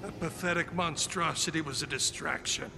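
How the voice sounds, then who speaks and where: Gravelly, contemptuous superhuman. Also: male voice, on the high side, steady tone. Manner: disdainful, slow. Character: contemptuous, menacing god-like male superhuman. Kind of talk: dramatic video game cutscene acting. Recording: clear ambient noise.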